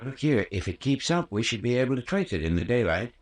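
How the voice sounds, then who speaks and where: A man acts a small-town American doctor in 1940s radio drama style. He talks in a plain rustic drawl with a medium-pitched voice, placating everyone around him in homely country phrases. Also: sing-song, quick pace, lightly acted. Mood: wary. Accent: rural American.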